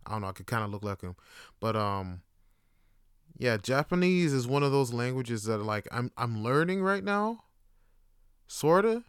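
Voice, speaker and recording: Slangy young man, smooth dry voice. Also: medium pitch, moderate pace, long pauses, dry recording. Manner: slangy, animated, joking asides. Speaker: podcast host. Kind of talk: casual monologue.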